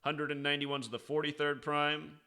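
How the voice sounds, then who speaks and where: An American man hosts an off-the-cuff explainer in a slightly high, very sharp and forceful voice. His sound is clean and crisp. He is insistent and sarcastic, hammering key words by repeating them. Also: level tone, moderate pace. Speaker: online commentator and video host. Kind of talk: unscripted explanatory commentary.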